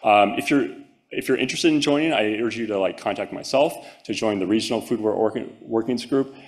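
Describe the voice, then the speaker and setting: A man's voice: fairly low pitch, fairly quick. A man giving a conference talk and answering audience questions, casual with many "um"s and "like"s. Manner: casual, many fillers and restarts. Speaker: conference presenter. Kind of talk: presentation and Q&A answers.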